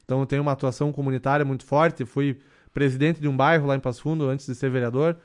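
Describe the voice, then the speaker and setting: A man in his thirties, a councilman, answering questions in a radio interview in a measured way, with many fillers and restarts. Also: medium pitch, little pitch movement. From southern Brazil. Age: 30s. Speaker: city councilman, radio guest.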